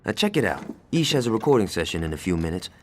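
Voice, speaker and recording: A man's voice: low-pitched, very animated, quick pace. An actor playing a brash, hot-tempered male gang enforcer in a game cutscene, blunt, sarcastic and profane. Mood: scheming. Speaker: gang lieutenant in a crime game. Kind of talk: video game cutscene acting.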